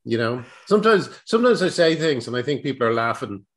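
A man's voice: mid-range voice, very animated, fast. A middle-aged Irish man speaking as a podcast guest, loose and conversational. He rambles through his stories with frequent restarts and dry, self-deprecating asides. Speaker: comedy writer, guest. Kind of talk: casual interview.

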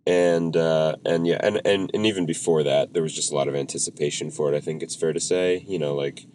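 A man's voice: a bass voice, fairly even intonation, a little fast, darkish tone, slight background noise. A man co-hosting a podcast, speaking with a cold, hesitant, with many ums and restarts. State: has a cold, voice a bit off. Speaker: podcast co-host. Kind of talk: casual chat.

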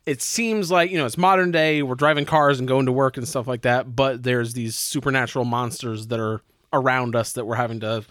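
American male podcast host, mid-range voice, relaxed tabletop game chat, very little room sound. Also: mid-range voice, a little fast, hardly any pauses, no echo, clean studio sound.

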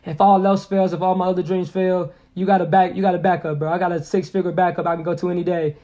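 A young man in his twenties, talking quickly and earnestly with a high, lively voice. He keeps circling back to repeat his point and leans on slang. The sound is a little dull and lo-fi. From Indianapolis. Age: 20s. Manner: earnest, motivational, repeats phrases with lots of slang. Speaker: podcast guest. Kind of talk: casual podcast conversation.

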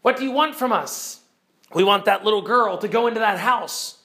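A foolish, blustering creature from a storybook, put on by a man telling the tale. The voice sits high and pushes out its demands with emphasis. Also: moderate pace, sharp tone. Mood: blustering, demanding.

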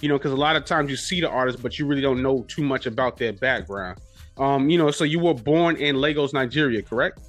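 A man's voice: medium pitch, fairly quick, fairly bright tone, no room sound, soft background music. A man hosting a podcast, talking in a hyped, slangy, repetitive way in casual interview chat. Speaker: podcast host. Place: New York City radio studio.

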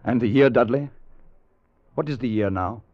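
A man's voice: mid-range voice, moderate pace, lightly acted. An actor playing a cautious, wonderstruck time traveller who narrates his adventure in a dramatic old-time radio style. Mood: shaken, uneasy. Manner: dramatic, hesitant in dialogue. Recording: dull vintage radio recording with music.

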